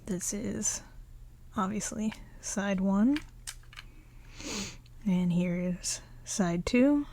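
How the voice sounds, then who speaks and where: A woman speaking softly and often in a near whisper, in a relaxed, chatty ASMR show-and-tell. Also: mid-range voice, extremely slow, creaky. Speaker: ASMR video creator showing a collection.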